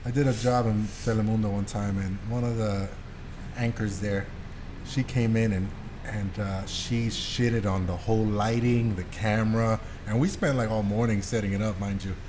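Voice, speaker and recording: A man's voice: lowish voice, fairly even intonation, normal speed, a little mellow, slight background noise. A man hosting a casual podcast, talking in a streetwise, anecdotal way with some mild swearing. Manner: streetwise, mildly profane, anecdotal. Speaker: podcast host.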